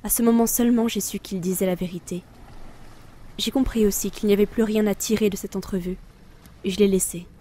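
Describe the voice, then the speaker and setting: A woman's voice: mid-range voice, steady tone, a little fast, dark tone, slight background noise, lightly acted. An actress playing a weary, brooding female reaper of souls in an introspective audio drama narration. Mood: resolute. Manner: solemn, introspective.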